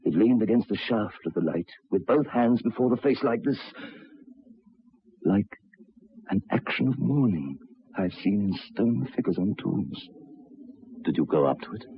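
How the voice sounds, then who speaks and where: A troubled railway signalman in a radio play. The voice sits low and is heavily husky, with grave, deliberate, halting phrasing. The recording is dull and lo-fi, like an old broadcast. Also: full character voice. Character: haunted, troubled railway signalman telling of ghosts. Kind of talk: old radio drama acting.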